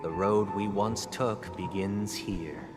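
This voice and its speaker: An actor playing a composed, eloquent young man in a game cutscene, speaking in a measured, formal, softly persuasive way. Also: fairly low pitch. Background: loud game soundtrack music.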